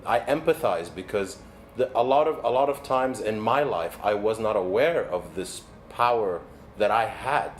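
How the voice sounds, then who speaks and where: A man in his forties from Egypt, a native Arabic speaker, delivers a motivational keynote as a coach in an earnest, measured, deliberate way. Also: on the low side. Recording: background noise, ambient sound.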